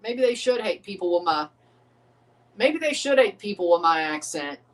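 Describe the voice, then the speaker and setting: A man's voice: high-pitched, big pitch swings, moderate pace, distant mic. A man, hosting a casual livestream reaction and rambling profanely while second-guessing himself. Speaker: livestream host reacting to a match.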